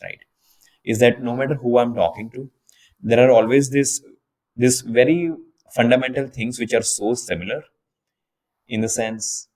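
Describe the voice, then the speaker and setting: A man's voice: medium pitch, a little mellow, poor audio. A young man from India hosting a podcast, chatting casually and restarting his sentences with repeated words as he thinks aloud. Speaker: podcast host. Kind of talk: casual interview chat.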